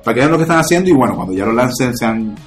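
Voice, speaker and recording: Dude in his 30s hosting a podcast, talks fast and slangy, a bit of music under it. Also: mid-range voice, animated. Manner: fast, loose slangy talk. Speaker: podcast host. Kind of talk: casual podcast chat.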